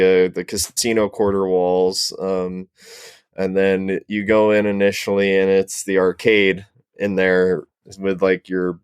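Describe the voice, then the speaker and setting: A man's voice: low voice, darkish tone, clean tone, dry recording, studio quality. A man chatting casually as a guest on a panel, describing things in an informal way. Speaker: podcast guest, cosplay prop maker. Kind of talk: casual chat.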